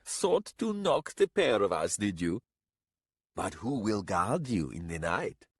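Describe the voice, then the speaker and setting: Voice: low voice, very animated, slow, husky, dry recording, studio quality, heavily acted. A man voicing a sly, grumbling old warrior with theatrical, sneering archaic speech in a story.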